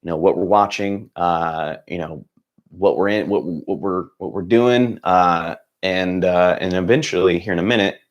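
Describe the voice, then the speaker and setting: A young man with a very low voice runs a relaxed livestream chat from his home setup. He sounds hoarse, as if he is close to losing his voice. He rambles in an upbeat way, full of fillers and false starts. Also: moderate pace, muffled recording. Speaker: channel host.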